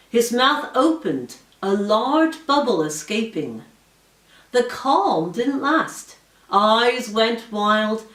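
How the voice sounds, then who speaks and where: Slow, lilting woman storyteller in echoey room. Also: on the high side. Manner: measured, dramatic storytelling delivery. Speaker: audiobook reader, author. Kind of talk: expressive scripted fiction narration, first-person story reading. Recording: roomy echo, distant from mic.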